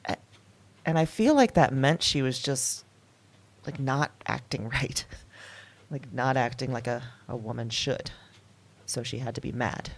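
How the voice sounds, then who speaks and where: A woman's voice: rumbling low, measured pace, pauses often, full character voice. A woman playing a shaken, thoughtful diarist in an intimate audio drama monologue, speaking hesitantly in broken, reflective phrases. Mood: resolved, wondering.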